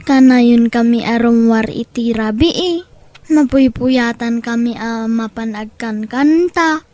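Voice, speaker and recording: A woman's voice: fairly high pitch, takes it slowly, warm tone. A woman with a Filipino accent voicing a weary, homesick young schoolboy in an audio drama, in a plaintive manner. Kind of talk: Ilocano audio drama acting.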